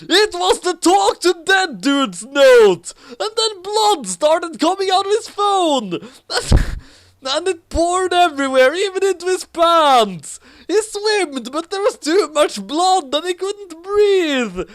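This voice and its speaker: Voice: very high voice, big pitch swings, slow pace. A person reading fan fiction aloud and commenting on it in a theatrical, mock-serious way. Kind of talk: dramatic amateur reading with casual commentary.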